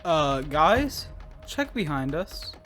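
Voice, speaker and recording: A man's voice: fairly high pitch, very animated, takes it slowly, fairly bright tone, soft background music, full character voice. A man playing an eager, earnest young fighter new to weapons, speaking casually.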